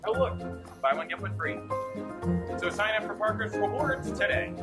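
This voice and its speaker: A man delivering a scripted, chirpy sales pitch for an advert. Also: expressive, normal speed, loud music behind the voice.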